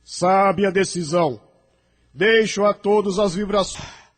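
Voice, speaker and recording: A man's voice: high-pitched, steady tone, a little slow, soft background music. A man playing a solemn, authoritative angelic spirit in an audio drama, speaking in formal, commanding declamation. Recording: long cavernous reverb.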